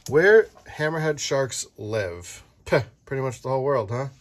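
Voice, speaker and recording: A man's voice: medium pitch, lively intonation, normal speed, a little hiss or hum. A man reading a children's book aloud with casual, playful chat, explaining things simply to a child. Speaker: parent reading aloud and host. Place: indoors at a tabletop, book filmed from above.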